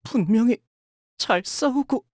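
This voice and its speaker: An actor playing a timid, polite young male fighter in a video game, hesitant and soft-spoken but capable of cold focus. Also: mid-range voice, animated, takes it slowly, no echo, studio quality. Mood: flustered, anxious. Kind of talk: Korean video game character voice lines.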